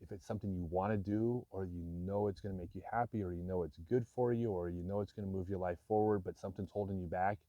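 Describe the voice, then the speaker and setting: A man's voice: low voice, steady tone, fast, hardly any pauses, warm tone, no room sound, highs cut off. A man in his fifties talking candidly and reflectively to camera, frank and self-deprecating with occasional chuckles. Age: 50s. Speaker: vlogger. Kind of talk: candid personal talk to camera.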